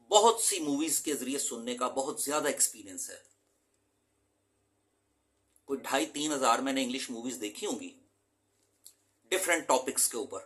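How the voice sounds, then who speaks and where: Bright, emphatic male English teacher. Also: medium pitch. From Pakistan. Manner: earnest, emphatic, mixes in English terms. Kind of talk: motivational lecture to students.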